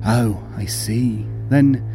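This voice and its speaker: A jittery, guilt-ridden radio-play murderer. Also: male voice, medium pitch, drawn-out words, dark tone, faint music behind. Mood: feigned calm. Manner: stammering, overly polite, jittery. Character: guilty, nervous murderer feigning polite calm, unravelling into madness. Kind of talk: old-time radio horror acting.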